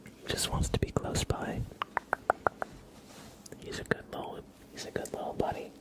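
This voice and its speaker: A man doing casual ASMR chat as a live streamer, murmuring and whispering softly and gently, with trailing pauses. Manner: soft, breathy whispering and low murmuring.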